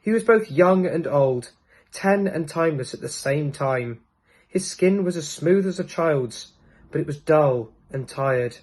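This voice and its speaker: Lively, higher-voiced man reading a storybook. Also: measured pace. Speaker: storybook reader. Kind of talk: scripted narration of a children's storybook.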